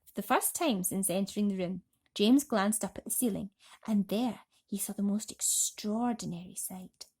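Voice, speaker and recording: A woman storyteller with a mid-pitched voice reads a bedtime tale for children. Her narration is expressive and scripted. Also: breathy edge.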